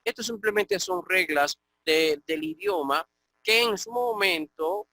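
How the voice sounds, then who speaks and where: A middle-aged man, giving an online grammar lecture as a Greek teacher. He explains step by step and often checks in with a quick "ok?". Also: high voice, animated, bright, forceful tone, no echo.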